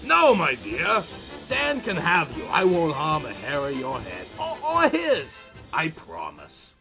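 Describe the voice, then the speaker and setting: A man's voice: a little high, huge pitch swings, slow, over music, exaggerated voice. A man voicing a gloating, possessive walking corpse from a horror comic, theatrical and taunting. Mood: sly, taunting.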